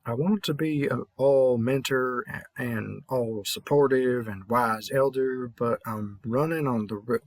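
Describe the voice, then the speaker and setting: A man's voice: mid-range voice, no echo. Man voicing a tired old-hand vampire hunter, Southern US accent, folksy mentor, mumbly rambling, dry close sound, audio roleplay. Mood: tired, advising. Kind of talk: intimate audio roleplay monologue.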